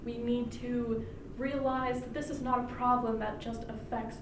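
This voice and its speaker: A woman's voice: on the high side, flat delivery, a little slow. Echoey, distant woman giving a persuasive speech. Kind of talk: prepared persuasive public speech. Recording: echoey room from far off, clearly noisy.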